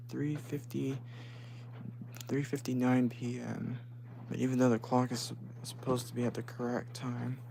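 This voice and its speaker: A man with a deep voice, chatting casually and haltingly on a live stream from home, with frequent restarts. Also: little pitch movement, creaky. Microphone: phone. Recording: noisy phone stream with hum.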